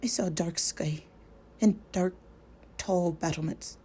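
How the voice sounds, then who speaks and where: Woman doing scared little hobbit guy, stammery, husky, kind of gravelly, storybook. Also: medium pitch, mellow. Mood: haunted. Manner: stammering, halting. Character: frightened young male hobbit, stammering and shaken.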